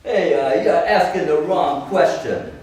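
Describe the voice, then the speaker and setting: A man's voice: a little high, measured pace, darkish tone, lightly acted. A man playing a menacing stranger, smug and mock-friendly, in a readers theater piece. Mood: threatening. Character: menacing male stranger, falsely cheerful, taunting. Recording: very echoey room, distant mic.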